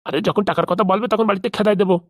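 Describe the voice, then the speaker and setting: A woman voicing a wary, grumbling middle-aged village man in a comedy cartoon, haggling gruffly. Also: mid-range voice, fairly even intonation, racing, dry recording, clean studio sound, close to an everyday voice. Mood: coaxing.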